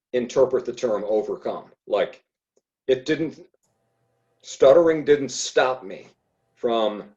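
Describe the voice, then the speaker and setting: Male podcast guest who stutters, slow and halting, dull lo-fi recording. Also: medium pitch, dark tone. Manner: hesitant, many fillers and restarts. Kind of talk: casual conversation.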